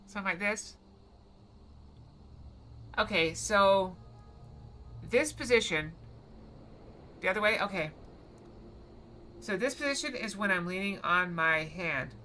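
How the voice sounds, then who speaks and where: A woman's voice: lowish voice, bright, forceful tone, a little hiss or hum. A woman streaming a video game, chatting casually in a rambling, playful way. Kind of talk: casual live-stream chat over gameplay.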